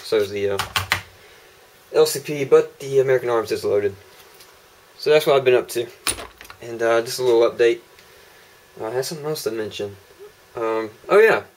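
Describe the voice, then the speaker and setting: A man's voice: mid-range voice, moderate pace, long pauses, a little mellow, a little hiss or hum, rough recording. A young man casually demonstrating holster prototypes on camera, rambling with lots of ums and uhs. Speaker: holster maker showing prototypes. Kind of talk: casual product demo vlog. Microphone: camera mic on a tripod.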